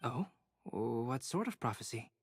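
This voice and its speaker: A refined young male teacher from a video game, with a mid-pitched voice. He is composed, courteous and quietly curious in formal phrasing. The sound is dry and close. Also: sing-song, measured pace, breathy edge, dry recording, full character voice. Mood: polite, curious. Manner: polite, composed, formally inquisitive. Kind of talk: video game dialogue acting.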